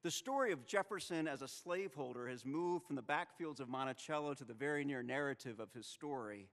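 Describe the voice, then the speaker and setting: A man in his thirties preaching a sermon at a chapel lectern microphone, measured and rhetorical with dramatic pauses. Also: medium pitch, fairly bright tone. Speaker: seminary president preaching in chapel. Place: chapel with stone wall, at a wooden lectern. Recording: long reverb of a chapel hall.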